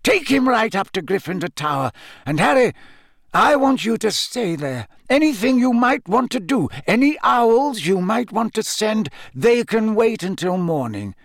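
A man's voice: high voice, very animated, unhurried, vocal fry, dry recording, clean studio sound, pitched above the performer's own voice, heavily acted. A man voicing an old wizard headmaster in an audiobook, speaking sharply and with firm authority. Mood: firm, urgent. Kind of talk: audiobook character acting.

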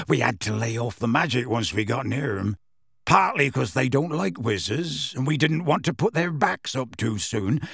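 A huge, gruff half-giant gamekeeper tells his tale. The voice is husky and rough, swinging freely in pitch, with folksy, unhurried phrasing. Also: a bit bright, no room sound, studio quality, exaggerated voice. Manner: folksy, ungrammatical, unhurried storytelling. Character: huge gruff half-giant gamekeeper, rustic, warm-hearted, plain-spoken. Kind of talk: audiobook character voice.

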